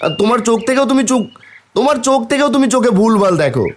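A man's voice: extremely high pitch, very animated, racing, slight background noise. A man voicing a mischievous, bold and defiant village boy in a cartoon, with a taunting, sing-song manner. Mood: cheeky, defiant. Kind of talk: cartoon voice acting.

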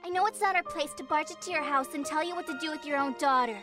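A woman's voice: sits high, quick pace, lightly acted. A woman voicing a blunt, loyal teenage schoolgirl in an anime fandub, emotional and heartfelt. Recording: music behind the voice.

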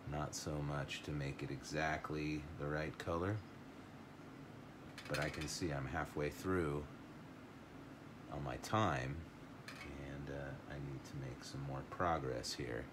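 Very deep, slow-talking man painting. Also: steady tone, noisy background. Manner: unhurried, thinking aloud while painting. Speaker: art teacher. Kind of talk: live watercolor painting lesson.

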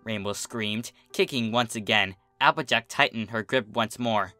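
A man's voice: lowish voice, moderate pace, sharp tone, no echo, soft background music. A man reading a story aloud as scripted narration. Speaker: fan fiction reader.

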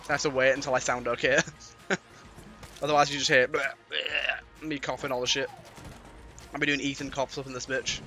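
A British man with a cold and a slightly raspy voice, giving casual, playful, mildly sweary let's-play commentary. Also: mid-range voice, sharp tone. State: has a cold. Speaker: gaming YouTuber. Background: game music.

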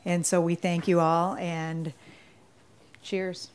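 A woman's voice: on the low side, little pitch movement, slow pace, heavy background noise. Older woman giving off-the-cuff wedding thanks. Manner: off the cuff, many ums. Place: candlelit tent at a dinner party. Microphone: handheld mic.

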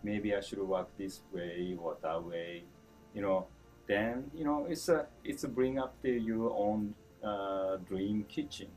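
Deep-voiced chef with Japanese accent. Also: male voice, unhurried, faint music behind. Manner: broken English, chuckling, animated with hand gestures. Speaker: chef being interviewed. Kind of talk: casual interview answers. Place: indoor store event, seated before a branded backdrop.

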